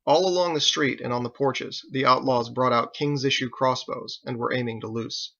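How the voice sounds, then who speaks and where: A man's voice: mid-range voice, fairly even intonation, normal speed, a bit bright, lo-fi sound. A man reading his own novel aloud as dramatic audiobook narration.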